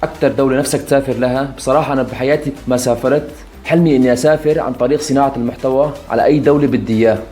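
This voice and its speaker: Syrian guy in his 20s doing a casual vlog q&a, talks super fast, normal pitch. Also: fairly even intonation, dark tone, soft background music. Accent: Syrian Arabic. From Aleppo, Syria. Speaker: YouTube content creator. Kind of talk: casual vlog answering follower questions.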